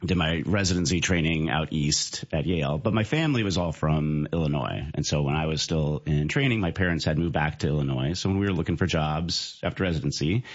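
A man's voice: very deep voice, a little fast, few pauses, lo-fi sound. A man from California, a physician guest, answering casually and easygoing.

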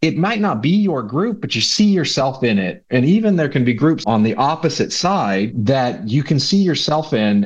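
A man's voice: mid-range voice, dull audio. A man explaining a research project in an earnest, explanatory way as an interview guest. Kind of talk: interview answers.

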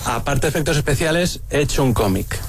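A man's voice: on the high side, expressive, fast talker, noisy background. A man from Bilbao chatting casually as an audience contestant in a radio studio. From Bilbao, Spain. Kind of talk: casual chat.

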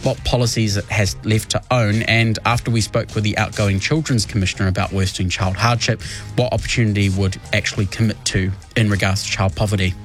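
A man's voice: on the low side, fairly even intonation, brisk, soft background music. A young man from West Auckland with a New Zealand accent, guest-hosting a morning radio show in an upbeat, easygoing way. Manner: upbeat, easygoing, with Pacific greetings. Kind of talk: radio show presenting and casual banter. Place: radio studio.

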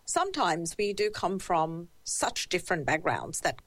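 Lively woman host, dry close sound. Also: lowish voice, measured pace, no room sound. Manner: warm and encouraging, with affirming interjections. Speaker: podcast host, interviewer. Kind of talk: conversational interview.

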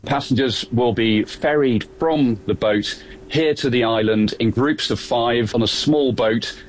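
A male correspondent reporting at a mid pitch, brisk and to the point. A faint hum sits under his voice. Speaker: field correspondent. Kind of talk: news report.

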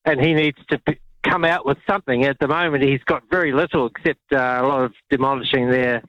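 A man's voice: mid-range voice, fairly even intonation, brisk, no room sound, lo-fi sound. A man calling a radio show, earnestly arguing his point with restarts. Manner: earnest, argumentative, restarts a lot. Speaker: caller. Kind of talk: talkback call.

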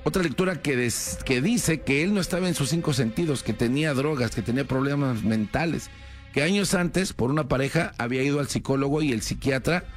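A man's voice: medium pitch, a little fast, faint music behind. A man telling a true crime story in a casual, conversational way, with many fillers and tag questions.

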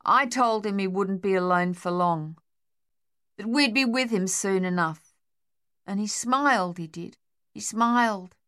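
A woman's voice: mid-range voice, unhurried, a bit bright, clear, resonant tone, lightly acted. A woman voicing a desperate, shell-shocked young male Australian soldier in an audiobook, talking in a shaky, pleading, rambling way with an Australian accent. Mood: grieving.

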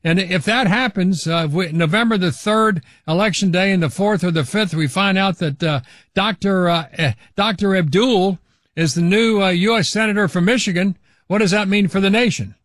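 An older man hosting a talk radio show, giving opinionated commentary in a halting way with restarts. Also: high-pitched, fairly bright tone, no echo, clean studio sound. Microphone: studio microphone.

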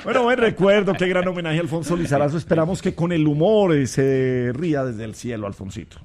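A man's voice: on the high side, lively intonation, a little fast, darkish tone. A Colombian man co-hosting a radio show in casual, conversational chat.

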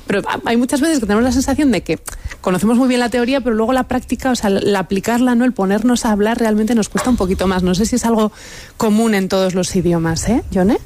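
A woman's voice: on the high side, fast, a little mellow. A woman hosting a roundtable, asking questions with frequent tag questions. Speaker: host.

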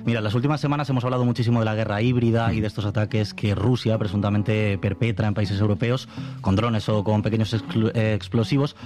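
Spanish man reporting over clear music. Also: lowish voice, steady tone, fast talker, darkish tone. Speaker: radio international news reporter. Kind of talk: conversational radio news storytelling.

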